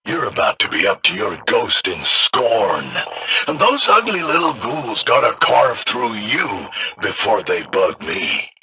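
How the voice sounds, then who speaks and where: A man playing a hostile, streetwise trash-talker in a video game, with a gruff, taunting, slangy delivery. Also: fairly low pitch, big pitch swings, a little slow. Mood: mocking. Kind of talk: scripted video game voice acting. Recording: clear background noise and ambience.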